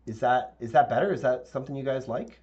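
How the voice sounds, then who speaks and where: A male architect teaching a live software tutorial, talking casually with viewers and thinking aloud with fillers; his voice is a little raspy. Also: medium pitch, fast talker, muffled recording. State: slightly raspy voice, clearing throat.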